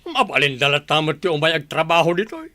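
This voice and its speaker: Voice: mid-range voice, sing-song, fast, sharp tone, dry recording. A performer playing a greedy, callous male village villain in an Ilocano radio drama, gloating and taunting. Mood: gloating, scornful.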